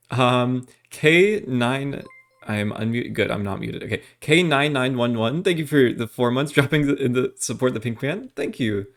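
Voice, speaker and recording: A male streamer chatting while he plays and reading out thanks to his viewers one by one. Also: mid-range voice. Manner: reads out thanks for subscriptions in a flat list. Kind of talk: casual gaming livestream chat.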